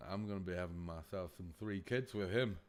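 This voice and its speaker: A man with a boomy voice, chatting casually and profanely on a live stream, laughing often, close to his desk mic. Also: fairly quick. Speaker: livestreamer reacting to videos. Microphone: desk mic close to face.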